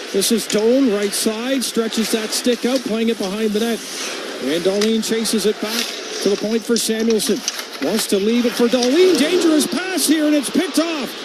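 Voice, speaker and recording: A man calling live hockey on radio as play-by-play announcer, in clipped running description that gets excitable on big plays. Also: sits high. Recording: clear arena noise behind. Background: hockey arena noise.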